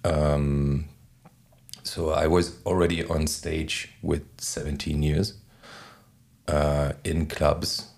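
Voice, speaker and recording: Very deep, gravelly German man. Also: flat delivery, a little mellow. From Berlin, Germany. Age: 40s. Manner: halting, searching for words. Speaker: podcast guest, DJ. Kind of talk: reflective interview talk.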